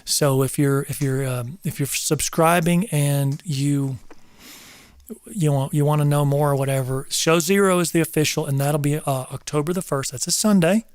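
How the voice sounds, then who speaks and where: A middle-aged man with a somewhat high voice talks to his livestream viewers in a loose, rambling way, circling back over his words. He sounds earnest and a little preacherly. The sound is dry and close to the mic. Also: steady tone, moderate pace, no room sound. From North Georgia, US South. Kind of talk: casual livestream talk to viewers.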